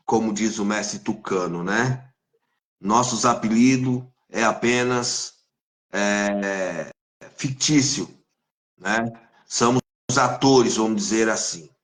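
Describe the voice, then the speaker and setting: A man from Brazil, a capoeira master hosting a live interview on a video call, speaking in a slow, deliberate, fatherly way. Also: lowish voice, fairly bright tone, echoey room.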